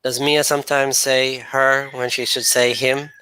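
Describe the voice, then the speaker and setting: A middle-aged man who grew up speaking Arabic hosts a casual livestream and talks in a rambling, easygoing way, stopping to read comments. His voice is bright and punchy. The sound is dry, with no room in it. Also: mid-range voice, a little slow, clean tone, no room sound. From grew up speaking Arabic and English, then England and Norway. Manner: relaxed, rambling, teasing with frequent laughs. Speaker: channel host reading live chat.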